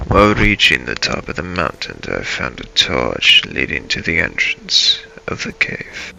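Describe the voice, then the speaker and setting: A man playing a brooding vampire adventurer, narrating his dark journey in a dramatic, halting, solemn way for a game role-play. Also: very deep voice, very animated, creaky, slight background noise, exaggerated voice, low-quality recording. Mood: grave, measured. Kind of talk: improvised in-character role-play narration over gameplay.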